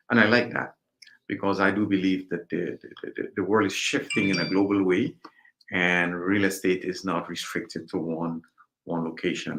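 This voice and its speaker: A man from Guyana with a low, deep voice, answering interview questions as a guest realtor. He speaks in a measured way, pausing often with ums. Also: fairly bright tone.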